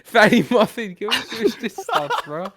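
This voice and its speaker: A man's voice: high voice, huge pitch swings, normal speed, fairly bright tone. A man co-hosting a podcast in casual, slangy banter, teasing his friends playfully as he steers the discussion.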